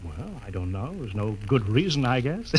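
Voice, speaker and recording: An actor playing a homesick rocket captain in a 1950s radio drama, eager and nostalgic. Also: male voice, medium pitch, very animated, measured pace.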